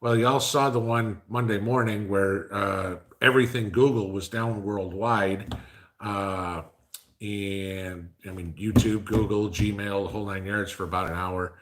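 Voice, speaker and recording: Rambling low-voiced man reading chat. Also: fairly even intonation, a little slow, fairly bright tone, slight background noise. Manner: rambling, conversational, frequent uh fillers. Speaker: livestream host reading chat. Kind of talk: casual live-stream chat.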